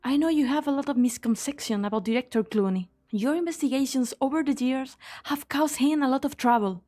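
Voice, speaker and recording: A woman's voice: high voice, a little fast, dark tone, lightly acted. A woman in an amateur fan dub playing an earnest, idealistic young female scientist. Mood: earnest, defensive.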